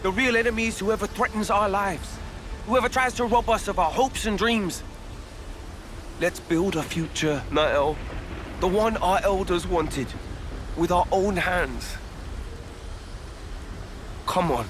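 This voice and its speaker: A man's voice: sits high, slow, long pauses, slight background noise. An actor with a British accent playing an earnest, hot-blooded young man in a dramatic video game scene, blunt and colloquial. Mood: earnest pleading. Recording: voice over loud music.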